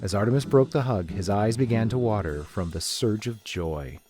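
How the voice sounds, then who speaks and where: A man's voice: fairly low pitch, moderate pace. A man reading scripted story narration as the narrator of an audio drama. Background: music.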